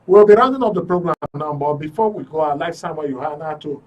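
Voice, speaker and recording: A man's voice: fairly high pitch, animated, quick pace, lo-fi sound. A man with a Nigerian accent hosting a live radio talk show. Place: radio and TV studio. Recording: distant mic, background noise.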